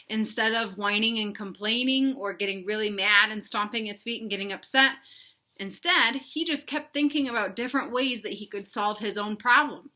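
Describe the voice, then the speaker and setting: A woman's voice: mid-range voice, sharp tone. A woman reading a picture book aloud to young children, expressively, with simple explanations and questions to the listeners. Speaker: read-aloud storyteller for children.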